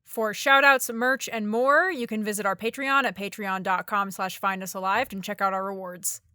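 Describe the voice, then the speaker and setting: A woman's voice: mid-range voice, fairly even intonation, normal speed, sharp tone, no echo, clean studio sound. A woman reading scripted podcast announcements as the show's writer and director, addressing listeners directly.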